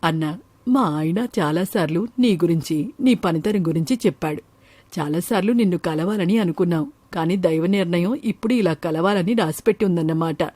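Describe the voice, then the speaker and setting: A kindly, practical countrywoman from a cartoon moral tale, voiced by a woman storyteller. Her tone is dark and rather low, in a dry recording. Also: moderate pace, no room sound, close to an everyday voice. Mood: earnest. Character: kind, practical village woman who owns a banana grove. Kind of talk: animated moral story acting.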